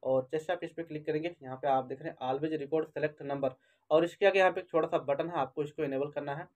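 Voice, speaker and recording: A man's voice: on the high side, steady tone, fairly quick, darkish tone, dull audio. A man narrating a step-by-step phone tutorial, repeating instructions and addressing viewers as friends. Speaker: tech tutorial YouTuber and host.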